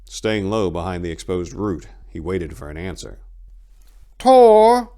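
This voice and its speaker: A man giving a theatrical, suspenseful dramatic reading of a dark fantasy story as an audiobook narrator. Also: deep voice, moderate pace.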